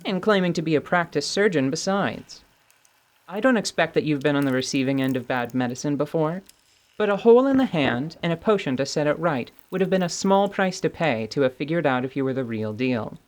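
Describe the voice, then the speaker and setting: An actor plays a blunt, idealistic adventurer in fantasy radio theatre. The voice sits at a middling pitch, and the delivery is wry and sardonic, like someone confiding hard-won convictions. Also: expressive, fairly quick, close to an everyday voice. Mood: teasing. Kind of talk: radio-theatre fantasy role-play acting.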